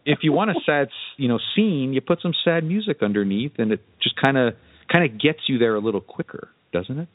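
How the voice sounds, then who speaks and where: A man hosting a casual podcast chat, halting as he searches for words. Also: mid-range voice, expressive, no echo. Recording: dry, narrow phone-like sound.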